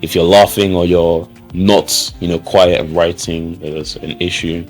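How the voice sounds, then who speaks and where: A man's voice: low voice, little pitch movement, measured pace, soft background music. A young man, answering interview questions loosely, with many fillers and restarts. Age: young adult. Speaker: student.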